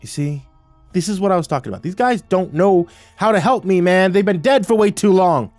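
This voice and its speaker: A man's voice: mid-range voice, expressive, brisk, a little mellow, no echo, soft background music, natural voice. A man voicing an anxious, grumbling, foul-mouthed man in an eagle's body, with slangy, groaning delivery, in a narrated story. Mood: exasperated. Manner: groaning, sputtering, slangy complaining.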